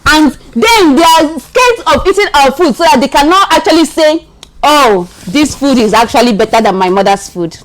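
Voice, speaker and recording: A woman's voice: high-pitched, animated, moderate pace, low-quality recording. A young Nigerian woman in a casual, playful argument, speaking emphatically and repeating herself in protest. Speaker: friend debating on a vlog.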